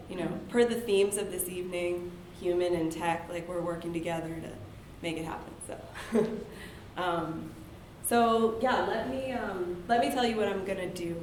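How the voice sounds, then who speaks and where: A woman's voice: lowish voice, roomy sound, a little hiss or hum, poor audio. A woman cartoonist speaking to an audience at a reading, losing her voice and talking in a chatty, self-deprecating way with filler words. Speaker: cartoonist and author giving a talk. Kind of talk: casual public talk to an audience. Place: university event hall.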